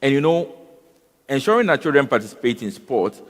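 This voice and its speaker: A man speaking on a conference panel, often pausing with fillers and repeating words. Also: medium pitch, very animated. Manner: halting, repeats words and fills with "you know" and "um". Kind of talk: panel discussion. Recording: long hall reverb.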